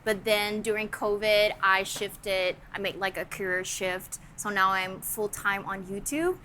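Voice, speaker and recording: Woman from the netherlands, podcast guest, friendly and thoughtful, bright tone, faint noise. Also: medium pitch, steady tone, unhurried. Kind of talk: casual chat.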